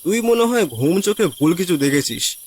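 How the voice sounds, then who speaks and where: Man doing a high-pitched, lively young fellow. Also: moderate pace. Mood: dismissive. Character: dismissive young male friend on a night trip.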